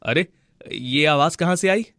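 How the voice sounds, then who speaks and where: A man plays a good-hearted, henpecked villager from a moral tale. His voice sits a little high and sounds fretful and unsure. The recording is dry. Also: a little slow, a bit bright, no echo. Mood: startled. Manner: fretful, bewildered. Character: worried, good-hearted male village farmer, henpecked husband. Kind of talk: children's moral story cartoon.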